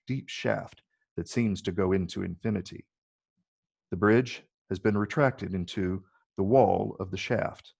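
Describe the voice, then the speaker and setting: Low, gravelly male narrator. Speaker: narrator reading stage directions. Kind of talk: scripted narration. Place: virtual read, recorded remotely.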